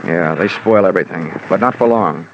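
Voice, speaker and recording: An actor playing a wry, slangy, hardboiled insurance investigator who also narrates an old-time radio drama. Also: male voice, deep voice, moderate pace, noisy background. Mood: determined. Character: wry, tough American insurance investigator hero. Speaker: lead and narrator. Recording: muffled old radio recording.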